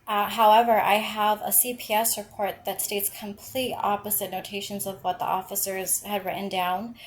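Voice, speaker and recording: A woman with a voice of middling pitch presses her complaint over the phone. She is insistent and persistent, with faint noise behind her. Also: little pitch movement, moderate pace. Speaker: caller filing a complaint. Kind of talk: recorded phone call. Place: phone call.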